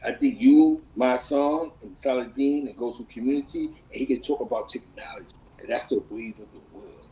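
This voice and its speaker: A man's voice: mid-range voice, normal speed, hardly any pauses, mellow, rough recording. A man with a New York accent in a casual, impassioned phone conversation, slangy, profane and emphatic, often checking that he's being followed. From United States.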